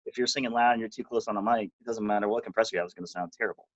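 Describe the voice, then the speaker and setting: A man's voice: on the low side, fairly even intonation, no room sound, lo-fi sound. A man who worked as the recording engineer, explaining studio technique in casual conversation with lots of fillers. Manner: quick, filler-heavy explaining.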